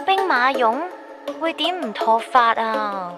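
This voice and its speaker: A woman's voice: on the high side, animated, slow pace, over music. A woman with a Hong Kong Cantonese accent playing a breezy, sceptical younger cousin in casual story dialogue. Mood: puzzled, dismissive. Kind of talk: scripted drama dialogue.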